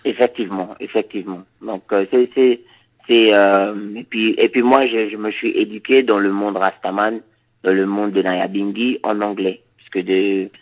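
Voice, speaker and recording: A reggae musician from Suriname, a man whose first language is Dutch, answering interview questions in a halting, thoughtful way with many repeated words. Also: lowish voice, fairly even intonation, phone-quality sound.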